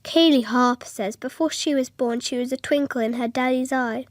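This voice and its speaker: A woman's voice: high-pitched, normal speed, no echo, studio quality, close to an everyday voice. A female performer playing an innocent, watchful little girl who narrates a radio drama in an artless, matter-of-fact way. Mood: earnest. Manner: simple, artless, matter-of-fact childlike telling.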